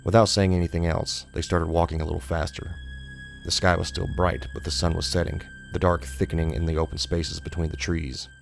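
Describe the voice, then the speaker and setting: A man's voice: deep voice, wildly swooping pitch, a little fast, vocal fry, music in the background. A man narrating a scripted horror short story as an audiobook.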